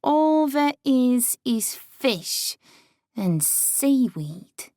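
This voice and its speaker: A man's voice: very high voice, big pitch swings, drawn-out words, darkish tone, no room sound, clean studio sound, voice pushed up, heavily acted. A male narrator voicing a cheerful, blunt young woman training as a guard, with a chatty, folksy way of talking.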